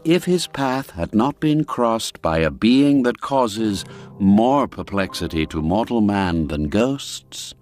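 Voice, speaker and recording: A man narrating a classic story in a measured, theatrical and expressive way. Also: fairly low pitch, soft background music. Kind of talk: dramatic scripted story narration.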